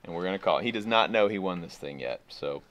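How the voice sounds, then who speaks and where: A man's voice: sits low, expressive, fast, fairly bright tone. A man hosting a vlog, chatting casually and laughing often as he shows truck parts in a workshop. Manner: laid-back, laughs often. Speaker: vlog host. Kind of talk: casual chat.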